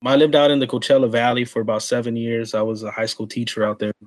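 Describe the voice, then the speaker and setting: A man's voice: mid-range voice, little pitch movement, fast talker, darkish tone. A man from Texas with an American accent, interviewing someone in a casual, conversational style. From San Antonio, Texas, USA.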